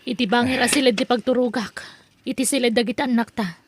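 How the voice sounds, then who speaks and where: A woman acts an aloof wife and mother in an Ilocano audio drama. Her voice is high, and her delivery stays guarded. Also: steady tone, quick pace, slightly rough, no echo. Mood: cool.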